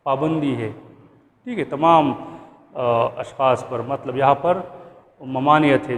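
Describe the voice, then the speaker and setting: A man with a Pakistani accent giving an explanatory law lecture, didactic, repeating points and checking that listeners follow. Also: medium pitch. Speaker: law instructor. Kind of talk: explanatory lecture going through a statute clause by clause. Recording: reverberant room with background noise.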